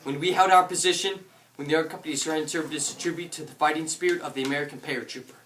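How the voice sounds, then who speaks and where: A man playing a declamatory American paratrooper officer, high-voiced, on a distant stage in a war play in an echoing hall. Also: steady tone, rapid-fire, a bit bright, lightly acted. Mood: solemn, proud. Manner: declamatory, bellows battle orders. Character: dutiful, battle-tested American paratrooper officer recalling combat. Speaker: actor in a war drama. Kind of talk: theatrical monologue and dialogue. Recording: echoey room from far off, noisy.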